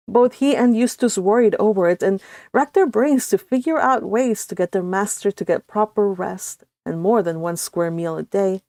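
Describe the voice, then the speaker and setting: A woman's voice: mid-range voice, dark tone, no room sound, clean studio sound. Non-native woman author reading her story, then casual chatter full of laughs. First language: not English. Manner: laughs often, restarts sentences.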